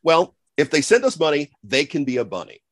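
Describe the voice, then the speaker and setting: A man's voice: on the high side, sing-song, a little fast, dry recording, clean studio sound. A man presenting a show's sponsor appeal in playful scripted banter.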